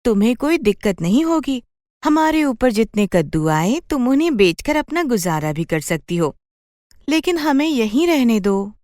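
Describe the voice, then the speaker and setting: A kindly magical pumpkin vine played by a woman speaks in a high, very lively voice with a dry, close sound. It is cheerful and reassuring, pleading sweetly and eager to help, in the style of a children's cartoon. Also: normal speed, no echo. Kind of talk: children's cartoon story voice acting.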